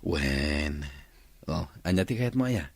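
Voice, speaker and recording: A man playing a smooth-talking suitor in an Ilocano radio drama, with an Ilocano accent. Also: rumbling low, animated, takes it slowly, no room sound, exaggerated voice. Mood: friendly. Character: smooth-talking male suitor courting a young woman.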